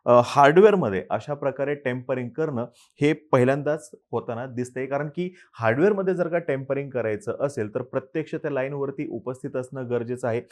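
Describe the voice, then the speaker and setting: A man delivers a scripted news explainer in a measured, steady way. He sets up rhetorical questions and then answers them himself. His voice sits at an ordinary middle pitch.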